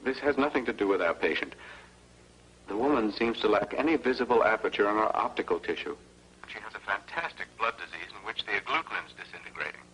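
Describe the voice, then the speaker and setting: Casting: grave scientist-physician for vintage B-movie, measured, formal, bright-edged low voice. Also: male voice, expressive, slightly rough, rough recording. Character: grave, guarded male doctor and blood researcher. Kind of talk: 1950s B-movie acting. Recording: old film audio with faint hum.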